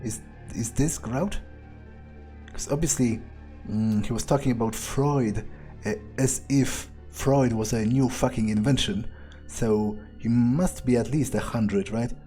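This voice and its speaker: A man giving in-character game commentary, musing aloud in a halting, sardonic and profane way. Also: medium pitch, warm tone, faint music behind. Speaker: gamer playing in character.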